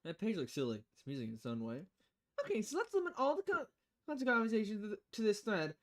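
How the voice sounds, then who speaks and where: A woman's voice: medium pitch, big pitch swings, quick pace. A woman streaming a visual novel, reading the dialogue aloud with casual, playful commentary and switching voices between lines.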